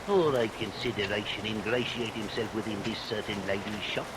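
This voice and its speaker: An actor playing a plump, scheming, sanctimonious parson in vintage radio melodrama, preaching in florid, archaic biblical phrases. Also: male voice, on the low side, moderate pace, lightly acted. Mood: conspiratorial. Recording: vintage radio recording under rain noise.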